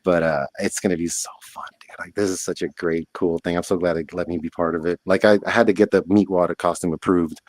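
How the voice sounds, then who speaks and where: A middle-aged man hosting a casual chat on a video call, animated and quick to laugh. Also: deep voice. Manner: animated, laughs often, mimics others in quotes. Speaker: host.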